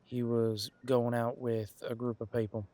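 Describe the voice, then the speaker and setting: A man's voice: mid-range voice, little pitch movement, a little slow, warm tone, no echo, close to an everyday voice. A man playing a casual, wry police detective in an audio roleplay, musing in a conversational, hesitant way. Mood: explaining, thoughtful.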